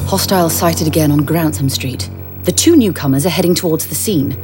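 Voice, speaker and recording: A woman's voice: sits low, brisk, over music, close to an everyday voice. An actress playing a watchful, businesslike woman reporting sightings in an audio drama.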